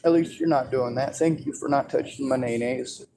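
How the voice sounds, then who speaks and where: A man's voice: medium pitch, moderate pace, dark tone, a little hiss or hum, rough recording. A man talking casually in an informal conversation. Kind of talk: casual chat.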